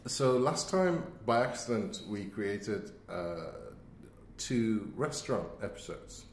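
A man co-hosting a podcast in casual, halting chat, recorded in an empty office. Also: very animated. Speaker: podcast co-host. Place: very empty, cave-like office indoors. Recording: echoey empty office, low rumble noise.

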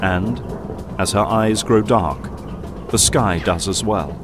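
A male narrator tells a scripted drama in a low voice. His delivery is solemn and descriptive, with loud music running underneath. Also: slow. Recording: loud music and effects behind.

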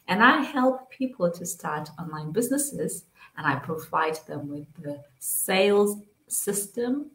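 A woman in her forties hosting a live online interview, greeting viewers with upbeat, drawn-out welcomes over a webcam. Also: medium pitch. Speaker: host. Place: small room at home. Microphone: webcam on a video call. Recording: echoey room.

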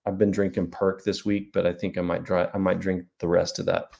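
A man's voice: deep voice, flat delivery, fairly quick, gravelly, a little mellow. A man in his forties, an author hosting a casual livestream from a small room at home, talking to a webcam and answering viewer comments in a rambling, self-deprecating way. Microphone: webcam at desk.

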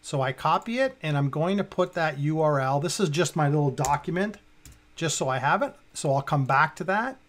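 Higher-pitched man giving a software tutorial. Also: animated, moderate pace. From Canada. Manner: talks himself through each step. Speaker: tutorial presenter. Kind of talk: step-by-step screen tutorial, casual. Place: indoors, at a computer.

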